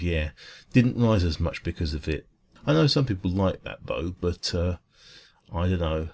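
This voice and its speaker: A low, creaky-voiced dragon baker played by a man in a soft ASMR roleplay. He is kind and chatty, rambling with gentle, earnest enthusiasm and small hesitations as he talks to a customer. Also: full character voice. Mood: modest, explaining. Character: friendly, food-loving dragon café baker, kind and chatty.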